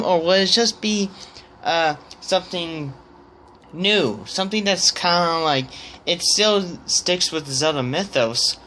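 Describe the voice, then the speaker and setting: A man thinking aloud in a casual opinion vlog, hesitant and halting, often stammering on the starts of words. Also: fairly high pitch, bright, forceful tone, slight background noise. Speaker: vlogger.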